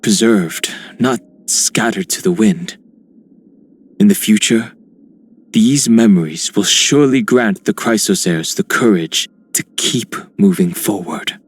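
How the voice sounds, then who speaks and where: A man's voice: on the low side, slow, breathy edge. An actor playing a noble, earnest heroic man in a fantasy video game, with formal phrasing. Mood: solemn, hopeful. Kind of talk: scripted game character voice lines.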